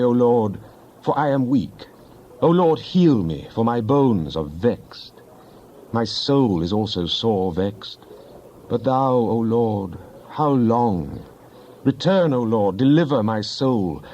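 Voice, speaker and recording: A man's voice: medium pitch, darkish tone, highs cut off, a little hiss or hum, natural voice. A man playing a fervent psalmist in a dramatized scripture reading, pleading with God in solemn, impassioned, declamatory tones and archaic diction. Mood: anguished, pleading.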